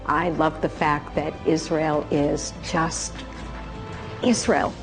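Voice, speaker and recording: A woman with a notably low voice speaks earnestly and with feeling about her memories. A clear music bed runs beneath her words. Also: steady tone, slow pace. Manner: earnest, emotional, reflective. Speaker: interviewee. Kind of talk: personal interview testimony.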